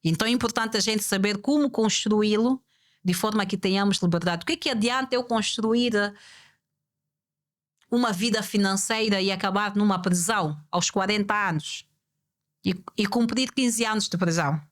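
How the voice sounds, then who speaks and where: A woman guest on a podcast conversation, speaking reflectively, repeating her key points and posing rhetorical questions in a measured way. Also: mid-range voice.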